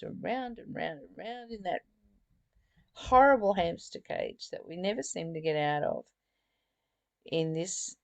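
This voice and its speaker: A woman leads an informal spiritual study in a low voice with noticeable fry. She takes her time, moving between deliberate reading and chatty commentary. The sound is close and dry. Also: a little mellow, dry recording. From Australia. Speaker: spiritual study host reading and explaining a book.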